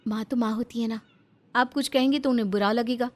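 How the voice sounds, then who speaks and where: A woman's voice: on the high side, fairly even intonation, a little fast, natural voice. A woman voicing a pampered, sweet-talking young daughter-in-law in a melodramatic moral-story cartoon, coaxing and meekly placating.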